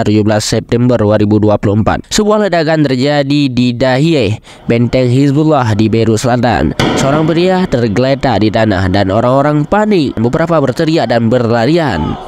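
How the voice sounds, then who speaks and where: A man's voice: medium pitch, darkish tone, dry recording. Dry-sounding male news narrator. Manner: formal, explanatory.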